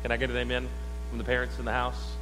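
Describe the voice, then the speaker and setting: A man's voice: mid-range voice, completely flat delivery, fast, fairly bright tone. A man preaching a conversational sermon in a church, with wry asides and questions to the congregation. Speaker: pastor. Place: church sanctuary. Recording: long hall reverb with faint mains hum.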